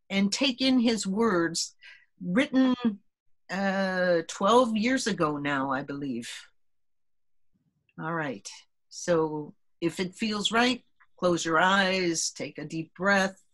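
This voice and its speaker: A woman hosting a group conversation, gently guiding listeners into meditation and reading aloud. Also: medium pitch, low-quality recording. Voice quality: soft, gentle, deliberate delivery. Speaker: host leading a group conversation. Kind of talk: calm guided meditation introduction and reading.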